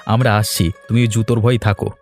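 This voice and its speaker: A man's voice: fairly low pitch, flat delivery, a little fast, a little mellow, soft background music, natural voice. A man playing a keen young village camper in an audio drama. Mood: keen, decided. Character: young village man, Palash, planning a forest camp. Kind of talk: Bengali audio drama acting.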